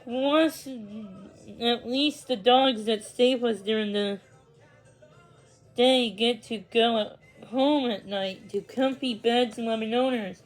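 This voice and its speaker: A woman voicing a kindly, worried aunt in a children's storybook, gentle and sincere. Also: fairly high pitch, slow pace, soft background music, poor audio. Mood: sad. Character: kindly, worried aunt who loves dogs.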